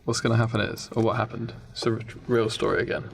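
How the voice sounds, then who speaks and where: Podcast co-host: young British man, gravelly fry, casual improvised storytelling, audible noise behind. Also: on the low side, little pitch movement, fast. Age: young adult. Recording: clear background noise.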